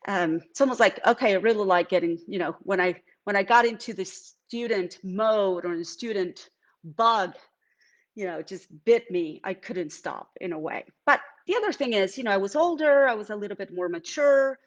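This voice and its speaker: A woman's voice: medium pitch, moderate pace, dull audio. Reflective middle-aged woman, Venezuelan accent. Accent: Venezuelan Spanish. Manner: reflective, with many fillers and restarts. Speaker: artist. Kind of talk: live-streamed artist talk. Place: home art studio.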